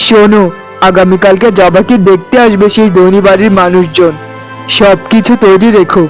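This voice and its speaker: A man's voice: high voice, fairly even intonation, moderate pace, faint music behind, natural voice. A man playing a guilt-ridden, henpecked older brother in a cartoon, with a pleading manner. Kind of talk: Bengali cartoon voice acting.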